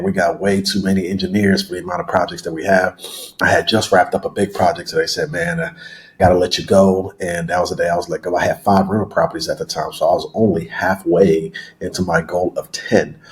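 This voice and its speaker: A man in his thirties who was born in Nigeria and raised in Houston, talking as a podcast guest. He tells his story in a relaxed, rambling way, correcting himself often and filling pauses with filler words. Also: low voice. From Nigeria, raised in Houston, Texas. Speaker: guest, real estate investor.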